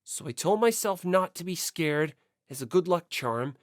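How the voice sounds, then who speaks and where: A woman's voice: on the low side, expressive, moderate pace, dry recording, clean studio sound. A woman reading scripted first-person story narration as an audiobook narrator.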